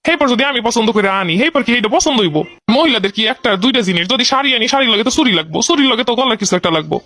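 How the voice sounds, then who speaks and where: A man's voice: extremely high pitch, lively intonation, very fast, sharp tone, exaggerated voice. A man with a Bangladeshi accent voicing a stingy, exasperated henpecked husband who grumbles defensively in a comedy cartoon.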